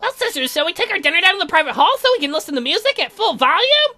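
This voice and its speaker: Guy doing a super high creepy man-eating girl for a horror game, gleeful, theatrical, talks fast. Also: sing-song, sharp tone, soft background music, heavily acted. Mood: ghoulish delight. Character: ghoulish, eager, man-eating female cousins of a count.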